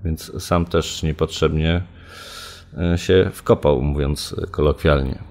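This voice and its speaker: A man recalling events in an interview as a reporter, halting and searching for words. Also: extremely low pitch, fairly even intonation, darkish tone. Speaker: local media reporter, interviewee. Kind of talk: spontaneous interview recollection.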